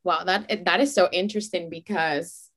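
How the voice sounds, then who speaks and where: Low-ish voiced woman host, upbeat podcast chat, lots of ums. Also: fairly even intonation, normal speed, fairly bright tone. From not from the United States. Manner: upbeat, enthusiastic, frequent ums. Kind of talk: casual podcast interview.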